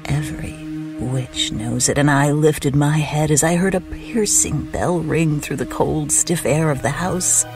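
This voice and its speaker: A woman playing a sinister, patient witch in a dark horror tale, speaking deliberately and dropping into hushed whispers. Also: low voice, music in the background. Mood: ominous, brooding. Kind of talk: dramatic first-person horror storytelling.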